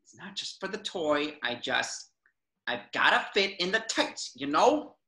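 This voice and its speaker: A man's voice: on the high side, big pitch swings, a little slow, pushed and bright, full character voice. A man playing a grumpy, sarcastic superhero sidekick in a cartoon table reading, whining and griping in an echoey room.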